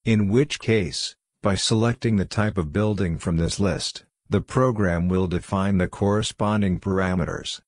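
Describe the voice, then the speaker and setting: Male voice-over for software instruction, deep pitch, dry close sound, formal scripted delivery. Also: steady tone, a little slow, few pauses, no room sound. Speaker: software tutorial narrator.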